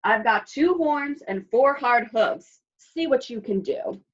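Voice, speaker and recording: A woman voicing a big, bold, fearless billy goat in a storybook reading, loud and defiant. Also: fairly high pitch, lively intonation, slow, far from the mic, lo-fi sound, voice pushed up.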